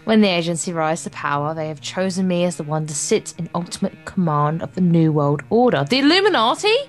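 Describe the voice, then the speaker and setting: A woman's voice: on the low side, big pitch swings, a little fast, soft background music. A woman co-hosting a let's play, reading game text aloud with casual, playful banter. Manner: playful, sweary, stumbles when reading.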